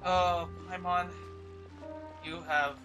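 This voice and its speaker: A man streaming a game, reacting casually and musing aloud in a hesitant, halting way. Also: high voice, level tone, over music.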